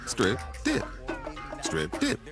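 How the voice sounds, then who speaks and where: Guy doing playful ad slogans, slow, really swoopy pitch, music behind. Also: huge pitch swings. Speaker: advertisement announcer. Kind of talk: playful slogan-led ad read.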